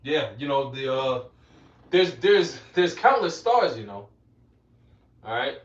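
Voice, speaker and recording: A man's voice: mid-range voice, sing-song, slow. A man teaching scripture interactively as a preacher, emphatic, repeating phrases and prompting those around him. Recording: dull, echoey, distant from the mic.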